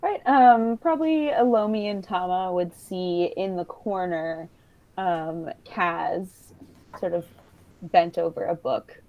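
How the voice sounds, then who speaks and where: A woman improvising narration as game master of a tabletop game, pausing often between phrases. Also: fairly low pitch, muffled recording.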